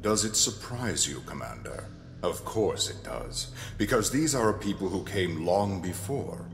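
A man playing an imperious, contemptuous and power-hungry dark lord in an audio drama, speaking deliberately with menace. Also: low-pitched, sing-song, gravelly, a little hiss or hum, exaggerated voice. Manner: slow, deliberate, condescending.

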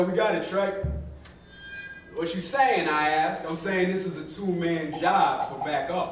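A man in his thirties giving an expressive, colloquial dramatic reading of first-person fiction, acting out the dialogue on stage. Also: a little high. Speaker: story reader. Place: arts venue stage, live with musicians. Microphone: studio-style microphone on a stand. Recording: echoey live room, distant, loud percussion. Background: live drum and percussion music.

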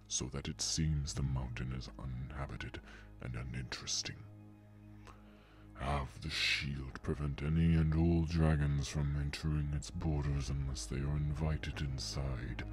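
Very deep, slow old dragon. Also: male voice. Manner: slow, halting, solemn delivery. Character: weary old male dragon, slow, solemn. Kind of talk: audio drama character acting.

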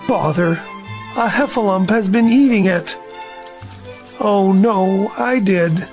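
A woman voicing a simple, good-natured male teddy bear in an audio drama, speaking in a mild, slow-witted way. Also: mid-range voice, over music, close to an everyday voice.

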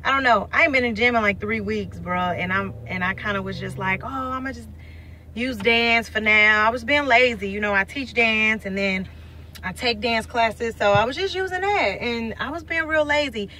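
Bright-voiced woman vlogging from a car. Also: on the high side, normal speed. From St. Louis, USA. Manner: blunt, slangy, lively and chatty. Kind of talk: casual selfie vlog. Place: inside a parked car. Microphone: phone held at arm's length.

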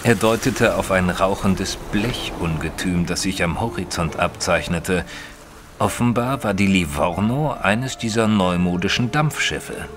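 A man playing a reserved Victorian gentleman, a detective's companion, narrating an audio drama in a measured, formal way. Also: deep voice, over music. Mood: measured, descriptive.

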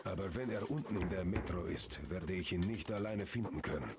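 An actor voicing a grave soldier narrating a loading screen in a game. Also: male voice, low voice, brisk, heavy background noise, close to an everyday voice. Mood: solemn.

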